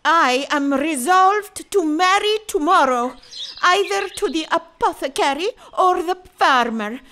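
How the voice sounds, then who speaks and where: Farce role for a woman: haughty governess, high voice, slow emphatic delivery, jealous and scolding. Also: lively intonation, bright, forceful tone, a little hiss or hum, full character voice. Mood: jealous fury. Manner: imperious, scolding, archaic. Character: haughty, jealous, sharp-tongued female governess. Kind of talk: farcical period audio drama acting.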